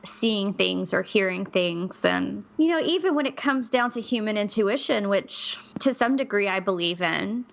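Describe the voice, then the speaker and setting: A woman's voice: mid-range voice, lively intonation, normal speed, vocal fry, few pauses. A woman co-hosting a podcast in casual chat, skeptical and thinking aloud with frequent restarts. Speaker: podcast co-host.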